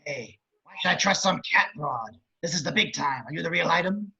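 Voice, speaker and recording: A man playing a crude, lecherous, scheming villain running for mayor, with a very raspy voice and wisecracking delivery, in an amateur script read. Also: fairly high pitch, animated, brisk, sharp tone, muffled recording, full character voice. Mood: indignant. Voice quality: very raspy, gravelly. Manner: leering, wisecracking.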